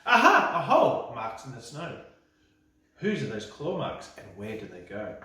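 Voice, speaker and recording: A man's voice: mid-range voice, wildly swooping pitch, unhurried, sharp tone, exaggerated voice. A man voicing a curious, brave young female monster child in a children's storybook read-aloud, eager and exclaiming. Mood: excited. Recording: echoey room, distant mic.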